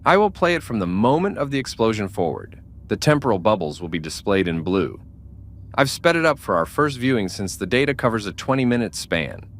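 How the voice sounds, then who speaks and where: Man acting an obliging, lowish-voiced starship doctor. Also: sing-song, fairly quick, slight background noise. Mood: helpful. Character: obliging male starship doctor working the data displays. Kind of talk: amateur sci-fi audio drama acting.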